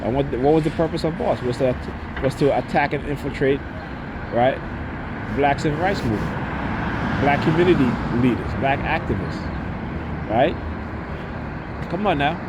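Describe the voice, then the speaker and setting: A man with a stuffy nose from a slight cold, talking in a rambling monologue that keeps ending on "right?" and restarting its sentences. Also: fairly high pitch. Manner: frequent "right?" tags, many restarts. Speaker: vlogger. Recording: loud street noise behind.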